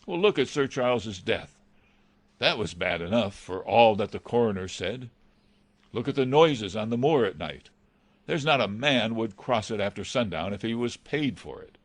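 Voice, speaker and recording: A man's voice: on the low side, animated, normal speed, no echo. A man playing an anxious, deferential butler in a radio mystery drama, earnest and hesitant. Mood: agitated. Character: anxious male butler, deferential, dreading villainy.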